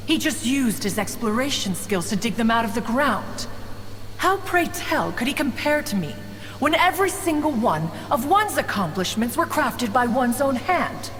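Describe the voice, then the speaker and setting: Pompous, haughty ancient adeptus, long reverb. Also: female voice, on the high side, fairly bright tone. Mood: proud, reminiscing, then indignant. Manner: pompous, archaic formal diction, refers to self as "one". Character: proud, ancient female crane adeptus, haughty and nostalgic. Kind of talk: scripted game dialogue, theatrical storytelling. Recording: long hall reverb, music behind.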